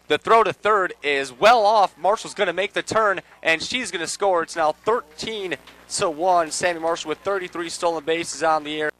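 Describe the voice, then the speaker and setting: A man calling a softball game live on the radio, measured between plays and ready to burst into excited calls. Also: on the high side, rough recording. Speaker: play-by-play sports commentator.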